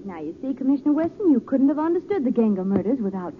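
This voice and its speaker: A woman's voice: sits high, big pitch swings, fast talker. An actress playing a poised, teasing woman in a 1940s radio drama. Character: poised, teasing woman, amateur sleuth's companion. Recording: tinny, hissy 1940s radio recording.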